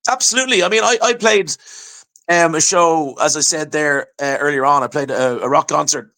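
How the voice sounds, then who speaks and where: An Irish man chatting as a podcast guest, telling stories in an animated way and often restarting his words. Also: fairly high pitch, normal speed. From Ireland. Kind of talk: casual chat.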